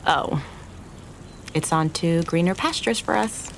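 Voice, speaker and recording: An actress playing an earnest, regretful young restaurant owner in an audio drama, confessing in a halting, naturalistic way. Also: female voice, lowish voice, creaky, a little hiss or hum. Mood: resigned, hopeful.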